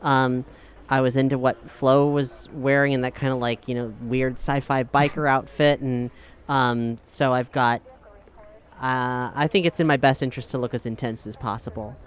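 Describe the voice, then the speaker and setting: A man chatting casually as a tabletop role-playing player, often halting and correcting himself. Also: mid-range voice, steady tone, clean tone. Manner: halting, self-correcting speech. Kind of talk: casual in-game chat.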